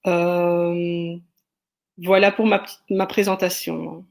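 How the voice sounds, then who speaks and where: A woman from Liège with a Belgian French accent, introducing herself on a webinar in a measured, explanatory way with many hesitations. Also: on the low side, little pitch movement, creaky. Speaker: guest, sociologist and entrepreneur. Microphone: video call.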